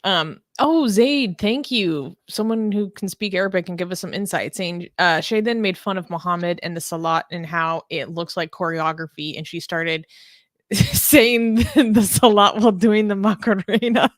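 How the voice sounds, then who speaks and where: A woman's voice: medium pitch, moderate pace, no echo, studio quality. A woman co-hosting a live news talk show, chatting casually, reading viewer comments and joking bluntly. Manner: playful, blunt, laughs easily.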